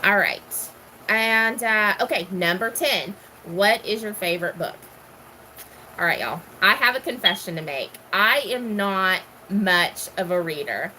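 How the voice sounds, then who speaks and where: A woman's voice: mid-range voice, lively intonation, measured pace, sharp tone, a little hiss or hum, poor audio. A middle-aged woman from Texas, casually chatting and answering questions, rambling with many ums. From Houston, Texas. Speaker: gardening vlogger.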